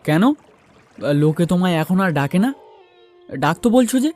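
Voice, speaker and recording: Guy narrating a ghost story, slightly high but mellow voice, soft music behind him. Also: animated, moderate pace. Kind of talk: first-person horror story narration with acted dialogue.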